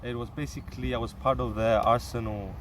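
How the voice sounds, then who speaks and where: A young man from Cyprus answering interview questions in a casual, halting way, with restarts and fillers. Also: medium pitch, steady tone, fairly bright tone, far from the mic, a little hiss or hum. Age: young adult.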